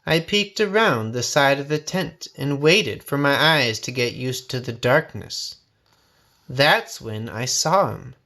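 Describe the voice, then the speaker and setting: A man reading a folksy first-person story aloud in a dramatic, expressive, suspenseful way. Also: mid-range voice, slow, gravelly. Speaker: storybook reader.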